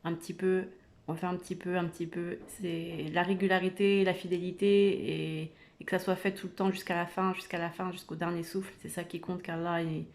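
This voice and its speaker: A woman's voice: on the low side, little pitch movement, brisk, darkish tone. A woman giving an informal religious talk, earnest and hesitant, with many fillers and restarts. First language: not Arabic. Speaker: religious video creator. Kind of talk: informal spoken religious exhortation.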